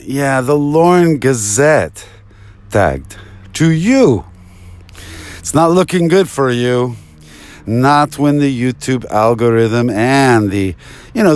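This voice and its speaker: A man's voice: mid-range voice, darkish tone, no echo. A man delivering a rambling, taunting monologue like a mock phone call, theatrically drawing out and repeating his words.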